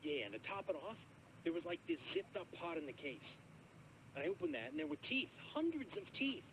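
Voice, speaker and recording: A hesitant driver tells his strange tale on a call-in show, played by a man. His voice sits a little high and moves up and down a lot. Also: big pitch swings, fairly quick, gravelly, fairly bright tone, slight background noise, exaggerated voice, poor audio. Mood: uneasy. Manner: hesitant, rambling storyteller. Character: nervous rideshare driver with a creepy story. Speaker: caller.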